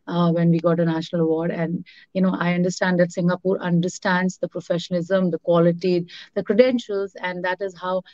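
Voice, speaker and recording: A woman from Bihar with an Indian English accent, a native Bhojpuri speaker, talking as an interview guest. She talks rapidly with many fillers and mixes in Hindi. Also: fairly low pitch, level tone, a little mellow, no room sound. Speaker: interview guest, actress and producer. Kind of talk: casual interview talk. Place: indoor room at night, on a video call. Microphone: laptop or phone camera mic on a video call. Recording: dull, dry, lo-fi video call audio.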